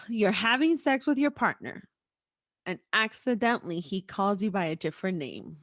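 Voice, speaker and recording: A woman's voice: medium pitch, animated, moderate pace, a bit bright, dry recording. Frank, playful woman hosting, in a dry, close sound. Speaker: podcast host. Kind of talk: casual chat.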